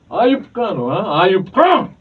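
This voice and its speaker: A man's voice: sits high, very animated, takes it slowly, exaggerated voice. A man playing an emotional, exclamatory hot-headed motorist in a Filipino radio drama. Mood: angry, cocky. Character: hot-headed boastful male motorist, later grateful and repentant. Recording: distant dull behind-the-scenes video, faint noise.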